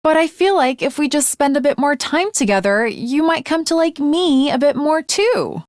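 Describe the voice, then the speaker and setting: Earnest, high-pitched anime swordswoman girl. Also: expressive, moderate pace, no echo, studio quality. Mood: coy, questioning. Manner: formal, archaic, polite diction with dramatic flourishes. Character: earnest, lovestruck, naive teenage girl swordswoman. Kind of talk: anime video game voice acting.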